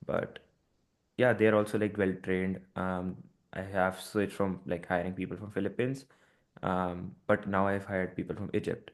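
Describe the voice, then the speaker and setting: Deep-voiced man giving friendly advice. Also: level tone, measured pace. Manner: encouraging, advice-giving, frequent "like" fillers. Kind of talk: casual business call.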